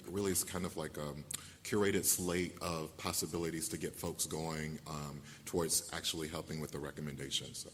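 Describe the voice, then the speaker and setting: A man's voice: low-pitched, a bit bright, slight background noise. A man on a panel, talking casually with hedges and fillers. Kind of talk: panel discussion. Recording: long hall reverb.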